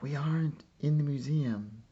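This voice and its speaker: A man's voice: fairly high pitch, unhurried, mellow, lo-fi sound, voice pushed up, close to an everyday voice. A man voicing a curious, earnest young girl in a storybook. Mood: glum.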